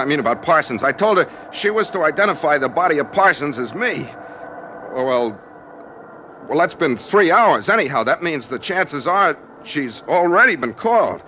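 A man's voice: sing-song, normal speed, sharp tone. An American man playing a desperate, hard-boiled driver held at gunpoint in a 1940s radio drama, with a wry, pleading manner. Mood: anxious pleading. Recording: noisy 1940s radio transcription, engine rumble.

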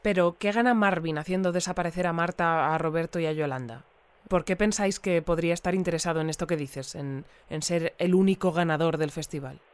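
An actress playing an inquisitive, politely persistent news interviewer in a naturalistic audio drama, phrasing questions with care. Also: female voice, lowish voice, fairly quick, smooth, lightly acted. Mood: measured, probing.

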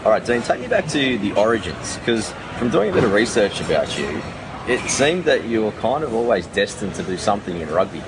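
A man's voice: lowish voice, big pitch swings, fairly quick, heavy background noise. A man hosting an interview, asking direct, chatty questions. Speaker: interviewer, host.

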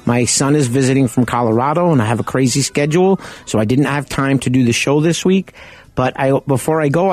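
A man hosting a car-buying radio show from home, talking in a loud, chatty, conversational way. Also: mid-range voice, no echo. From Arizona. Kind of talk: casual radio talk.

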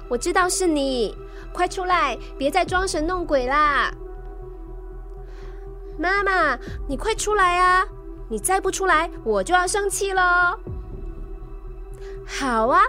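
A young woman acting a spirited daughter in a radio drama, lively and a little pouty. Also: extremely high pitch, unhurried, long pauses, soft background music, heavily acted. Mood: coaxing. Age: young adult.

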